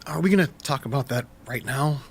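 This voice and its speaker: An actor playing a wistful, candid former youth pastor in a naturalistic audio drama, telling his story in a reflective, halting way. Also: medium pitch, expressive, slight background noise, lightly acted. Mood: guarded, hesitant.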